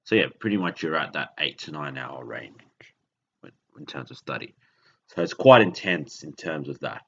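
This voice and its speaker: Very deep-voiced man from Indonesia, halting. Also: lively intonation, slight background noise. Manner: rambling, self-correcting, halting. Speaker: podcast host. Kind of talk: casual monologue. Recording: dull lo-fi recording.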